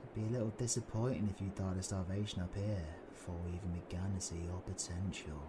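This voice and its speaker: A man playing a cocky, menacing, flirtatious British supervillain in an intimate roleplay audio drama, breathy and taunting. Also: low-pitched, steady tone, fast, background noise, lightly acted. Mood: smug, taunting. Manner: taunting, breathy, swings between whispering and shouting.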